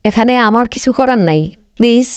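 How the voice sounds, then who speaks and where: Fast, high-pitched scheming cartoon girlfriend, played by a woman. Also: dry recording, close to an everyday voice. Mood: pleading. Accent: Bangladeshi. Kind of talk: comic cartoon dialogue.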